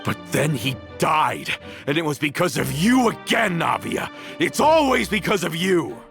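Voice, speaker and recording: An actor playing a bitter, vengeful former mafia member in a video game, with theatrical, accusing delivery that dwells on each phrase. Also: male voice, on the high side, big pitch swings, a little slow, creaky, fairly bright tone, soft background music, exaggerated voice. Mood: furious grief. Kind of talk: dramatic video game voice acting.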